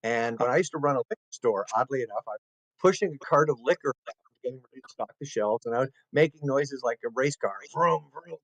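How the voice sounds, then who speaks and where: A man co-hosting an interview show, talkative and animated, telling stories and asking questions. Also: medium pitch, brisk, no echo, low-quality recording. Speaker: co-host, improv comedian. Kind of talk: casual interview chat.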